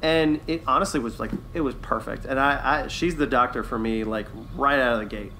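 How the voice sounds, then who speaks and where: A man's voice: mid-range voice, brisk, sharp tone, a little hiss or hum. A man co-hosting a podcast, talking in an enthusiastic, chatty way in a casual review discussion. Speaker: podcast co-host.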